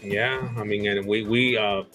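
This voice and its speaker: A man in his forties chats as a guest in a loose, easygoing way, his thoughts often coming out in starts and stops. His voice is on the low side, with soft music faintly behind him. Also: measured pace. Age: 40s. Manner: relaxed, many fillers and restarts. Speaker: guest reseller. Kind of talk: casual chat.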